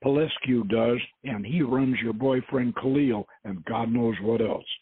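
Man reading as a husky adventurer archaeologist. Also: mid-range voice, unhurried, dry recording. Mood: shrewd, wary. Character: male archaeologist adventurer, commanding, shrewd, uneasy. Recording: muffled phone-like lo-fi audio.

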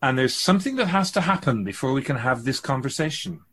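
Man acting immortal spirit guide, husky, serene, formal, measured, instructive, radio drama. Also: medium pitch, expressive, fairly bright tone. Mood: calm, instructive. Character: male-voiced immortal spirit keeper of souls, serene and instructive.

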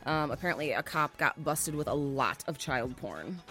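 A woman's voice: low-pitched, expressive, moderate pace, bright, forceful tone, soft background music. A woman co-hosting a casual live-streamed podcast, mostly giving short replies and agreeing. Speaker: podcast co-host.

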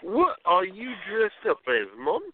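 A man's voice: sits high, wildly swooping pitch, measured pace, phone-quality sound, slight background noise, exaggerated voice. An actor playing an awkward, bemused younger man in a radio play, hesitant and incredulous.

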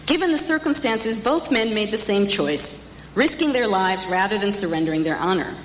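A woman's voice: mid-range voice, animated, fairly quick. Woman lecturing in a very echoey hall. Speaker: lecturer, historian speaker. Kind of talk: prepared public lecture to an audience. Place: large reverberant hall. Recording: echoey hall, dull distant sound, faint noise.

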